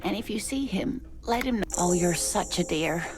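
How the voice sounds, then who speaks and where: A woman playing a gentle, polite, fretful older lady in a video game, genteel, apologetic and a little hesitant. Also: fairly low pitch, expressive, normal speed, music in the background, close to an everyday voice. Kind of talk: video game character dialogue.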